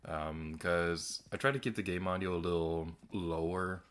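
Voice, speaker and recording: Quiet-voiced male game streamer, lowish voice, soft and rambling, casual commentary. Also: steady tone, normal speed, smooth. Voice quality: naturally quiet voice. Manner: soft-spoken, rambling and self-deprecating.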